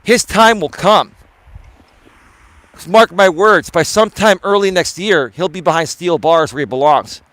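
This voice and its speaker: A man's voice: high voice, measured pace, fairly bright tone, no room sound. Tired, emphatic male streamer. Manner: emphatic, rambling, addresses viewers as folks. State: tired, slightly hoarse. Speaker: life coach and live streamer. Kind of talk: casual live stream talk to chat. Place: outdoors, walking at dusk. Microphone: phone camera held while walking.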